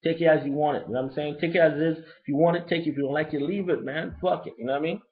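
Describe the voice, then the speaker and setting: A man's voice: rapid-fire, a little hiss or hum. A man with a Haitian accent who grew up in Brooklyn, ranting bluntly and profanely in a casual, rambling album review. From Brooklyn, New York. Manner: profane, blunt and rambling, lively pitch swings. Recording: lo-fi dull recording.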